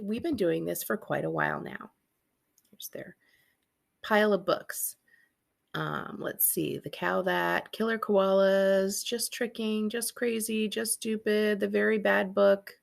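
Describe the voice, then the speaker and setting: A woman's voice: mid-range voice, vocal fry. A woman, a librarian reading a children's book aloud with casual asides.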